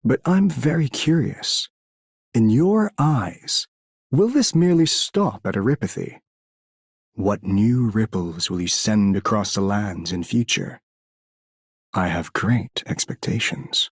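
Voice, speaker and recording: Slow, low, husky, formal figure. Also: male voice, expressive, no echo, studio quality, full character voice. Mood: measured, curious. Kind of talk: scripted game character voice line.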